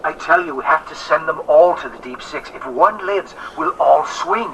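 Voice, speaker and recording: Cold domineering plotter, forceful, distant earpiece effect, radio drama. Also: male voice, on the high side, lively intonation, moderate pace, lo-fi sound, slight background noise. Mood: cold, commanding. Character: cold, domineering murderous plotter, heard over wire. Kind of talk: period radio mystery drama acting.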